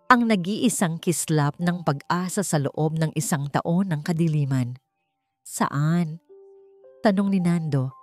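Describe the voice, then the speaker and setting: A woman with a Filipino accent reading a dramatic story in a measured, emotive way. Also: lowish voice, a little mellow. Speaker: audiobook narrator. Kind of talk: dramatic scripted story narration. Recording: narration over background music.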